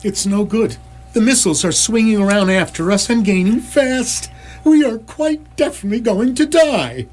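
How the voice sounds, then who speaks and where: A woman's voice: fairly high pitch, lively intonation, moderate pace, darkish tone. A woman playing an anxious, modest Earthman in radio theatre, fretful, hesitant and rambling. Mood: panicked. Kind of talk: staged radio theatre acting.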